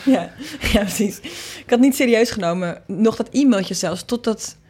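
A woman's voice: medium pitch, moderate pace, darkish tone, husky. A woman from the Netherlands, being interviewed and explaining things informally, thinking aloud as she goes. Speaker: interviewed singer and producer. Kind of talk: casual interview conversation.

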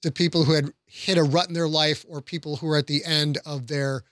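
A man's voice: fairly high pitch, fairly even intonation, a little fast, a bit bright, no echo, studio quality. A man on a podcast panel, speaking casually and earnestly, making encouraging points with illustrative examples.

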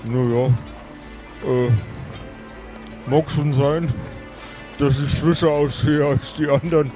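Frail undead old local played by a man: soft-toned, courteous, helpful, unhurried, for a fantasy tabletop play. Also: fairly high pitch, steady tone, soft background music. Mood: mild, helpful. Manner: halting, polite, unhurried.

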